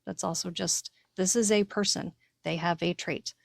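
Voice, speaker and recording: A woman's voice: on the low side, fairly even intonation, normal speed, vocal fry, dry recording, studio quality. An American woman from Connecticut co-hosting a casual discussion, speaking informally and repeating her points for emphasis. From Connecticut, United States. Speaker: podcast co-host and writer.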